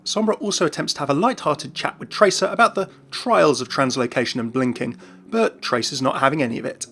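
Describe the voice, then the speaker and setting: A man's voice: on the high side, brisk, sharp tone, breathy edge. A man narrating scripted commentary for an online video.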